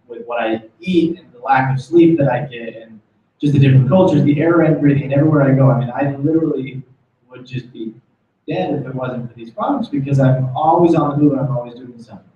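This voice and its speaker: American male executive, informal motivational speaker, dull distant sound in a heavily reverberant meeting room. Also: medium pitch. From United States. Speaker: corporate executive, guest speaker. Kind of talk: informal motivational product talk. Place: meeting room, speaking before small audience. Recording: very echoey room, distant muffled mic.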